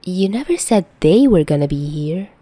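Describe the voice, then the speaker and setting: A woman's voice: lowish voice, very animated, moderate pace, warm tone, dry recording. A woman playing a teasing, nonchalant young man in a story, with a playfully dramatic manner.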